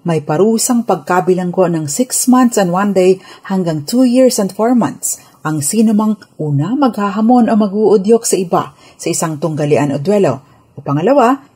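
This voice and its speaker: A woman with a Filipino accent giving a scripted legal explainer on radio as a lawyer, formal, measured and instructive. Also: fairly low pitch, a little mellow, husky.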